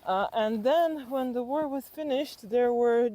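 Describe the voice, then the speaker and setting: A woman from Slovenia with a Slovenian accent, telling personal stories reflectively in conversation and haltingly thinking aloud as an interview guest. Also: on the high side, mellow, low-quality recording.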